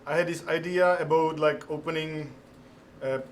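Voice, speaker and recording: Man, Czech accent, native Czech speaker, restaurant owner, halting casual talk, faint background hum. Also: a little high, steady tone. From Czech Republic. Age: middle-aged. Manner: halting, many fillers, laughs easily. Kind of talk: casual studio interview.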